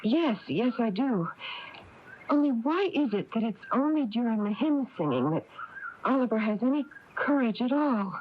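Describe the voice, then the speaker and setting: Actress as an earnest small-town wife, very animated voice. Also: female voice, on the high side, normal speed, lightly acted. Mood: earnest, worried. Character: earnest, worried married small-town woman. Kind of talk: 1980s radio drama acting. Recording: muffled noisy radio broadcast tape.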